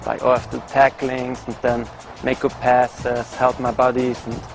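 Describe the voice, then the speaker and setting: A young man in his twenties with a Swiss German accent, answering interview questions about his soccer career in halting English full of "like". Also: mid-range voice, monotone, soft background music. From Switzerland. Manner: halting non-native English with filler "like". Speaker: professional soccer player. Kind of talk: casual interview.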